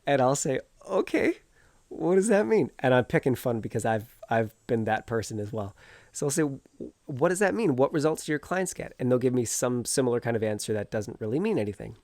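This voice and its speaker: A man's voice: medium pitch, animated, brisk, darkish tone, no room sound, studio quality. A man with a cold and a stuffed-up nose, earnestly encouraging viewers as a self-help coach while talking outdoors. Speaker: energy healer and coach.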